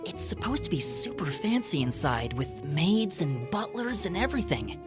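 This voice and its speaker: The modest classmate chatting on the walk to school in a game cutscene, lively intonation, music behind. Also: male voice, high-pitched, moderate pace. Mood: casual, chatty. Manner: casual, chatty. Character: friendly, earnest, modest teenage student boy. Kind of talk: video game voice acting.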